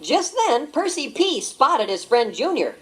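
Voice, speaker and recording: A woman's voice: high voice, sing-song, unhurried, slight background noise. A woman giving scripted read-along narration, telling the story expressively and voicing every character. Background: background music.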